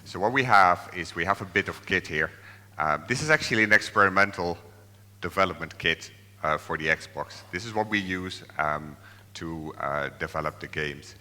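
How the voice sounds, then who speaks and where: A man's voice: sits low, moderate pace, bright, forceful tone, big-room sound. A man from the Netherlands, giving a hesitant conference tech presentation full of fillers and restarts. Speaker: software developer presenting. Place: large conference hall.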